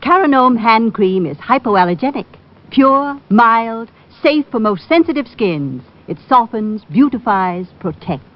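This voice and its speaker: A woman's voice: a little high, animated, measured pace. A woman reading a vintage radio advertisement. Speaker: commercial voice. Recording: dull vintage radio recording.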